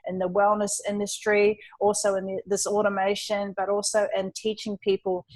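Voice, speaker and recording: A woman's voice: medium pitch, completely flat delivery, normal speed, poor audio. A woman from New Zealand, her accent now partly Australian, talks through her story in a webinar. Her delivery is upbeat and earnest, like a testimonial. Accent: New Zealand, leaning Australian. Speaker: online entrepreneur and webinar co-host.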